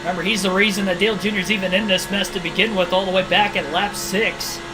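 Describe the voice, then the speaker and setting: A man giving steady play-by-play commentary while streaming a racing video game, often hedging his calls. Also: high-pitched, fast, poor audio. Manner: steady race-caller delivery, hedging guesses. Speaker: game livestreamer. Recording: video game music behind. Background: game music.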